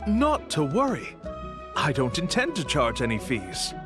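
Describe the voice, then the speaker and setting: A man's voice: fairly high pitch, huge pitch swings, a little slow. A man playing a polite, persuasive con-artist tour guide in an anime game, with formal, grandiose sales patter. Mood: reassuring, smooth. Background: game music.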